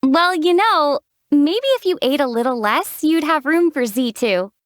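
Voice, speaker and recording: A dryly teasing young traveler. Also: female voice, high-pitched, a little slow, no room sound, studio quality. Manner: calm, deadpan teasing. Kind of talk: studio game voice acting. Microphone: studio mic.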